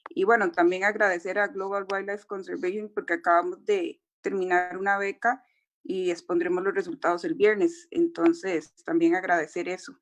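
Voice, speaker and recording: A woman's voice: fairly low pitch, little pitch movement, normal speed. A woman from Rica, speaking, asks the panel long, winding questions full of fillers over a video call. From Costa Rica. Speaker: audience member asking questions. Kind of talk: formal question at a symposium.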